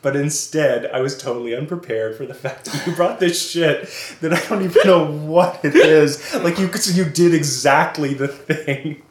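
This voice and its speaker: A man's voice: fairly high pitch, normal speed, hardly any pauses. A man from California hosting a podcast, talking casually, rambling and hesitant with a self-deprecating streak. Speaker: podcast host. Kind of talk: casual conversation. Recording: roomy echo.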